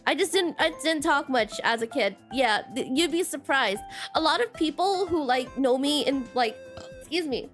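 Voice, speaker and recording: High-voiced giggly woman streamer. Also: lively intonation, normal speed, a bit bright, soft background music. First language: Mandarin. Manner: giggly, rambling, many fillers. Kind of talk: casual chat with viewers.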